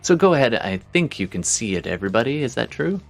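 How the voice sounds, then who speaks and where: A man's voice: medium pitch, big pitch swings, fast, creaky, soft background music. A man chatting informally as game master of an online tabletop game, often muttering to himself as he works. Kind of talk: casual chat while running an online tabletop game.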